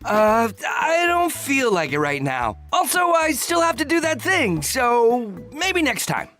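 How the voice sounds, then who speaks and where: A woman's voice: high voice, very animated, a little slow, fairly bright tone, soft background music, exaggerated voice. A woman voicing an evasive, slightly cocky young son in an anime game, casual and hesitant. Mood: reluctant, cocky.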